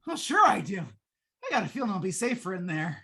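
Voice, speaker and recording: A woman's voice: medium pitch, sing-song, sharp tone, slightly rough, full character voice. A woman playing a jittery, wheedling, wisecracking repairman in a 1950s radio play, talking in slangy patter. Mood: anxious, relieved. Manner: slangy fast-talking gangster-style patter. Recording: noisy live radio play stream.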